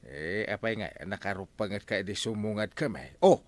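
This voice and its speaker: A bumbling, argumentative village captain, acted by a man for a comedy radio drama. His speech is hesitant and full of stammers, and his pitch swings widely. The sound is dry and close. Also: animated, normal speed, no echo. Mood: bickering. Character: flustered, comic village captain, a bumbling husband.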